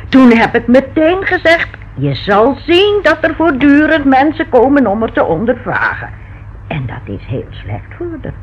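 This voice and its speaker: A woman's voice: high-pitched, very animated, measured pace. An actress in a Dutch radio play playing a stubborn, protective married landlady who fusses and insists. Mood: protective, insistent. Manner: fussing, insistent. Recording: dull old radio recording with noise.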